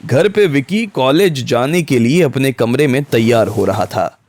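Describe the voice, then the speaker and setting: A man's voice: medium pitch, animated, unhurried, darkish tone. A man narrating a scripted audio story in the role of storyteller. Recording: background music under the voice.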